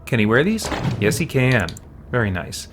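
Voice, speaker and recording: A man's voice: mid-range voice, big pitch swings, moderate pace, slight background noise. A man doing casual game livestream commentary, thinking aloud and muttering short remarks to himself as he chats to viewers.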